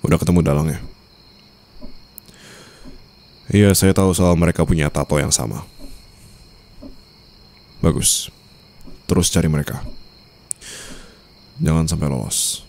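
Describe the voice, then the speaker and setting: Casting: male actor as composed mafia boss husband for ASMR roleplay, very deep, unhurried, formal, night ambience behind. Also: creaky, darkish tone, a little hiss or hum. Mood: cool, commanding. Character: cool, controlling young mafia boss, newly married husband. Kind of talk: Indonesian ASMR roleplay acting.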